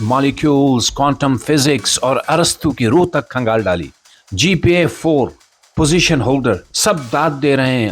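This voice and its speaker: A Pakistani man delivers a satirical news monologue as host, theatrical and dripping with sarcasm. His mid-pitched voice swings widely up and down, with music playing behind him.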